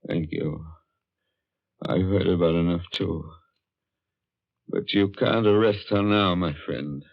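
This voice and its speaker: A man's voice: low voice, takes it slowly, gravelly, heavily acted. An actor playing a bitter, weary middle-aged man with a clipped, sardonic manner, in 1940s radio style. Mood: weary, resentful. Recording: dull, lo-fi 1940s radio recording.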